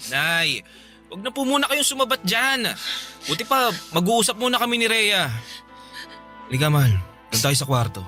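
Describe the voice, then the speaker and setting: A man's voice: high voice, sing-song, moderate pace, long pauses, bright, forceful tone, exaggerated voice. An actor playing a young husband in a Filipino radio drama, conciliatory and pleading as he keeps the peace. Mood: pacifying. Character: placating young husband caught between mother and partner. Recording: dry studio, music bed behind.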